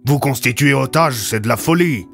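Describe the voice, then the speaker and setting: A man's voice: medium pitch, moderate pace, vocal fry, a bit bright, pitched above the performer's own voice. Cautious male ally, acted by a man, alarmed and urgent, audio drama with light music bed. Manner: urgent, warning. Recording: music under the voice.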